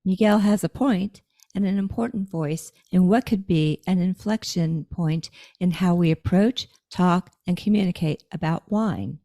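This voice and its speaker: A mellow, slightly low-voiced woman reads a scripted guest introduction in an even, measured way. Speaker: podcast co-host.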